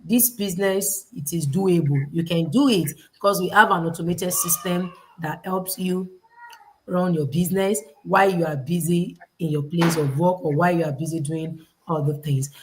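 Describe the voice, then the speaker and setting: A woman's voice: on the low side, normal speed, a little hiss or hum, rough recording. A woman from Nigeria with a Nigerian accent, hosting a livestream panel in a preachy, motivational way that repeats key phrases and quotes scripture. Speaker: host.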